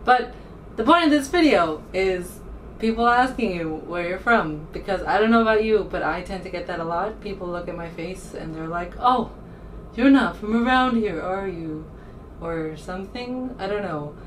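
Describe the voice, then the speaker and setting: A woman's voice: medium pitch, lively intonation, moderate pace. A woman from the United States talking casually as a vlogger, rambling informally and hesitantly as she thinks aloud. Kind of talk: casual rambling vlog talk to camera. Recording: distant mic with faint room noise.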